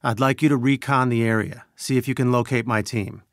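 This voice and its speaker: A man's voice: medium pitch, steady tone, a little fast, dry recording, clean studio sound, lightly acted. A man playing an earnest scientist who leads a resistance, briefing a team in a businesslike, urgent way in a video game. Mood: concerned, sober. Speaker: game character giving mission briefings. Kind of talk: video game mission dialogue.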